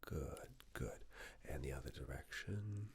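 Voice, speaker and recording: Man, whispered ASMR exam roleplay, deep gravelly tone, soothing short instructions. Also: expressive, unhurried, a little hiss or hum. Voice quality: breathy, husky whisper mixed with very low soft voice. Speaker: ASMR roleplayer playing a medical examiner.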